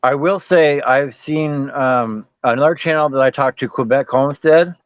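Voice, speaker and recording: A man's voice: mid-range voice, normal speed, no room sound, dull audio. A man co-hosting a casual interview, talking in an easygoing, rambling, encouraging way.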